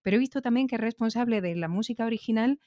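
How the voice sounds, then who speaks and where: A man's voice: extremely high pitch, rapid-fire, mellow, no echo, dull audio. A man with an accent from Spain interviewing conversationally on a podcast, wordy and effusive, repeating himself.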